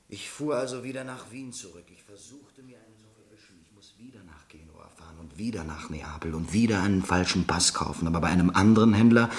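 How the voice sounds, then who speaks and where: An actor playing a troubled American ex-soldier living on the run under a false name, speaking with urgent self-reproach in a radio drama. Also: male voice, on the low side, close to an everyday voice.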